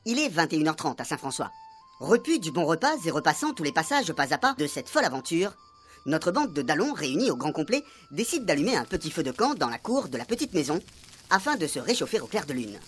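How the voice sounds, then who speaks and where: A woman's voice: low-pitched, big pitch swings, fairly quick. A woman narrator reading a scripted radio serial in a mock-literary storytelling manner. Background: music.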